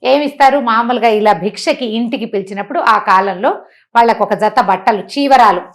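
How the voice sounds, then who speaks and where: High-pitched woman storyteller. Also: brisk. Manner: conversational, uses rhetorical questions and acts out dialogue. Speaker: storyteller and motivational speaker. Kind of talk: animated oral storytelling of a moral tale.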